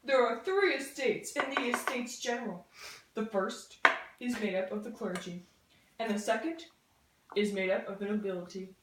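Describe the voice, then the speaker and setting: A woman's voice: mid-range voice, normal speed, noisy background. A young woman narrating a scripted educational puppet show in an informal, chatty explaining way. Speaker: student presenter. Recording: echoey room, slightly distant mic.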